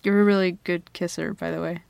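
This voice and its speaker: A woman's voice: lowish voice, steady tone, brisk, gravelly, darkish tone, smooth, no room sound. A young woman playing a flustered girl smitten with her best friend in an intimate ASMR roleplay, hesitant, stammering and giggly. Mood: shy, flustered. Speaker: audio roleplay performer. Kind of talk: ASMR girlfriend roleplay, intimate one-sided dialogue.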